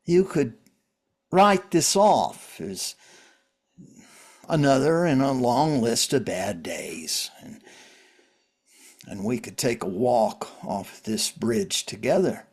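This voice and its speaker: An actor playing a grieving, pleading widower and recovering addict in a drama reading, speaking earnestly and haltingly. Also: male voice, medium pitch, animated, darkish tone, husky, full character voice. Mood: earnest, consoling. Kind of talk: script reading of a stage drama.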